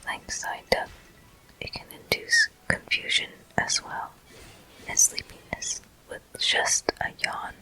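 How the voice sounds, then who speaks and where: A whispering game host giving soft, deliberate ASMR commentary with frequent pauses, close to the microphone. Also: low-quality recording. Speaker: host of gameplay walkthrough.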